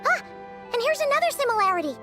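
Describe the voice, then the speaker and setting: A small, excitable fairy companion girl for a video game. The voice is extremely high and childlike, swinging animatedly up and down. She chirps along eagerly over clearly audible background music. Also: fast talker, exaggerated voice. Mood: excited, eager. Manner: speaks of self in third person, chirpy.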